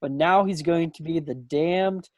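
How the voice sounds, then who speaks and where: A man's voice: fairly high pitch, measured pace, a little mellow, no echo, muffled recording, lightly acted. Man reading a folksy, teasing young small-town suitor. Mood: teasing, fond. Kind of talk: stage play table read. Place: at home on a video call. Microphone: computer microphone on a video call.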